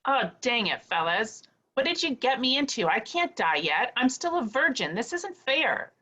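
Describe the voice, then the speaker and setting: A woman's voice: a little high, very animated, moderate pace, pushed and bright, heavily acted. A woman playing a nerdy, fretful lab scientist in a comic table read, explaining things earnestly.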